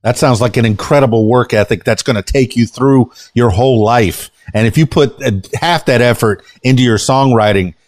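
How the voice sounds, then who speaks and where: A man hosts a casual podcast interview, rambling through his questions and restarting often as he talks. His voice sits at an ordinary middle pitch for a man. The sound is close and dry, with no room echo. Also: moderate pace, hardly any pauses, darkish tone. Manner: chatty, rambling with frequent restarts. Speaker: podcast host.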